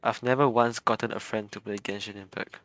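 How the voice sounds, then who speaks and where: A man live-streaming a game, giving casual, halting, reactive commentary with a self-deprecating streak. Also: on the low side, fairly even intonation, a bit bright. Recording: game music behind the voice. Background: game music.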